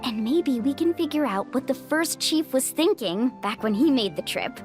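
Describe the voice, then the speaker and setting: An outgoing young female guide in a video game. Her voice is high and lively, with an upbeat, encouraging manner, over soft music. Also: moderate pace. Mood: upbeat, playful.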